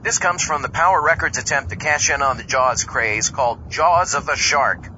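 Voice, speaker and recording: Male podcast host, fairly high voice, lively hammy reactions, dull recording with music behind. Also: normal speed, slight background noise. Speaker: podcast host in comic skits. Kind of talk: comedy horror podcast skit.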